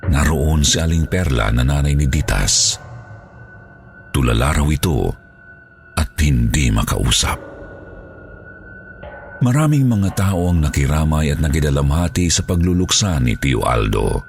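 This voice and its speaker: A man's voice: very deep voice, big pitch swings, a little slow, creaky, long pauses. A man with a Filipino accent reading a scripted horror story as narrator. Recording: background music under the voice.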